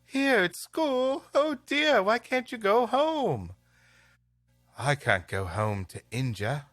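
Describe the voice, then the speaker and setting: Man reading as earnest storybook schoolboy, animated. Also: sits high, slow, no room sound, soft background music, voice pushed up, full character voice. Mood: plaintive. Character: earnest young schoolboys in an old English tale.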